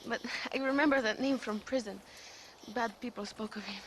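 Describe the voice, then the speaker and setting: An earnest young woman of revolutionary Mexico in a western game, played by an actress. Her voice is on the high side and sincere. Faint noise lies behind her. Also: normal speed, close to an everyday voice. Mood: uncertain, earnest. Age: young adult. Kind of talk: western video game cutscene acting.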